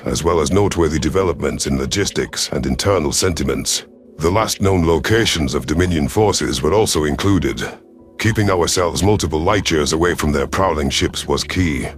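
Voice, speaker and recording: A man reading a dramatic science fiction story as a scripted narration, in a measured, serious manner. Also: low-pitched. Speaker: audiobook narrator. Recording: music behind the voice.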